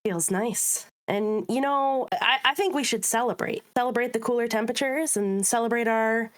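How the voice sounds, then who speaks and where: A woman co-hosting a podcast in casual chat, upbeat and chatty, her voice a little rough and hoarse from a recent illness. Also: fairly high pitch, moderate pace. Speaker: podcast co-host.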